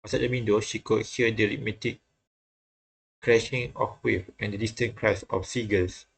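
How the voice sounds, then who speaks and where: Male game narrator, low register with a gravelly edge, halting read-aloud, frequent stumbles and restarts. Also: steady tone, low-quality recording. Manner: often stumbles and repeats phrases. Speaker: host reading a visual novel aloud.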